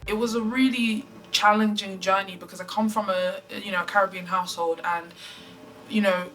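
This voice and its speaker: A young non-binary speaker talks thoughtfully, in a clear and articulate way. The voice has a bright tone, and the mic sits at some distance. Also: medium pitch, fairly even intonation, moderate pace. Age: 20s. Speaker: interviewee, activist. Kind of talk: documentary interview.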